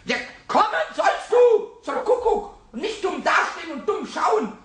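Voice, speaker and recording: A man's voice: sing-song, unhurried, a bit bright, heavily acted. An actor playing a cheeky, mischievous little male kobold in a 1960s radio play, who chatters, stammers and repeats himself. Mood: gleeful. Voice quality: high squeaky voice, chatters and stammers.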